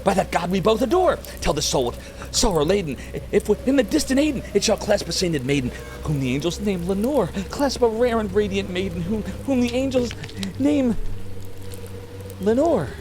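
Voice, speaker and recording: A man's voice: high-pitched, animated, normal speed, noisy background, voice pushed up. A man playing a haunted, grieving poetic narrator, melodramatic and pleading, in a dramatic poetry reading. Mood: frantic anguish. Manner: melodramatic, pleading, shrieking outbursts.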